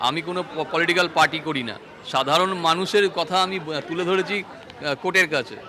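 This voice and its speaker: Quick-talking man interviewed in noisy street. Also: fairly high pitch. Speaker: complainant interviewed by press. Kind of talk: spoken statement in a street interview. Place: outdoors in court premises. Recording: outdoor crowd and street noise.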